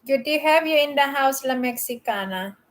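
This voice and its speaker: A woman's voice: high voice, expressive, normal speed. A woman from the Philippines, hosting a casual live stream, chatting and greeting viewers by name.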